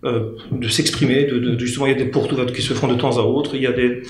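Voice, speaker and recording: Candidate, male, halting delivery full of fillers, roomy studio sound with faint hiss. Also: mid-range voice, steady tone. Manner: hesitant, many fillers and restarts. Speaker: local election candidate. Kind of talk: political panel discussion. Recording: echoey studio with faint noise.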